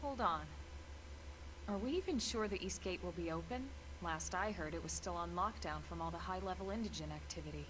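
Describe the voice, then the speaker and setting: A woman's voice: on the low side, fairly quick, noisy background, close to an everyday voice. A woman playing a composed, no-nonsense military team leader in a video game, businesslike and self-assured. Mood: firm. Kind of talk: English video game voice acting.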